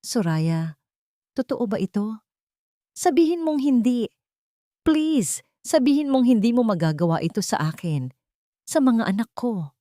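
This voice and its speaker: A woman's voice: on the high side, big pitch swings, measured pace, long pauses, warm tone, no echo, studio quality, voice pushed up. A woman voicing an intense, wounded and angry widowed man who pleads, in an audio drama. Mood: anguished pleading. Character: anguished, angry widowed businessman, pleading and betrayed. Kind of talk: Tagalog audio drama storytelling.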